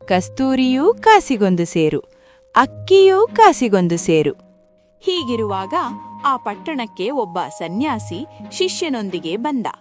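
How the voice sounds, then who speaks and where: A woman's voice: a little high, big pitch swings, slow pace, faint music behind. A woman narrating a moral folk tale in a scripted storytelling style.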